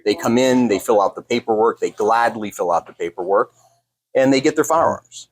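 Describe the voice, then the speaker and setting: Male interview guest, American, lowish pitch, measured explanatory delivery. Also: rough recording. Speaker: gun store owner, interview guest.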